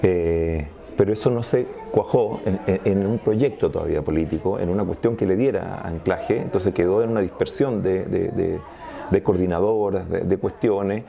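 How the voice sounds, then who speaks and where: Gravelly, low Chilean man explaining. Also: normal speed, warm tone. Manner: discursive, analytical, frequent restarts. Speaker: sociologist guest. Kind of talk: interview conversation.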